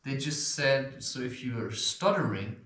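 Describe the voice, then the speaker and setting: A man's voice: mid-range voice, lively intonation, unhurried, a bit bright. A man with an occasional stutter giving loose, rambling spiritual advice, swearing and chuckling as he talks. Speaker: self-help video host. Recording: echoey room.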